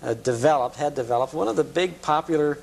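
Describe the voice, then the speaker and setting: A man's voice: on the high side, quick pace, gravelly, darkish tone. An American man hosting a casual interview show in a television studio. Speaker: talk show host. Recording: noisy, humming 1980s cable TV studio.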